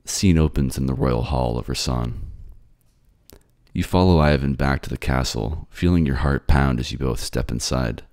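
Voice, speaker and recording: A man's voice: rumbling low, normal speed, darkish tone, no echo, studio quality. A man narrating a scripted second-person fantasy drama as a storyteller. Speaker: narrator and voice actor.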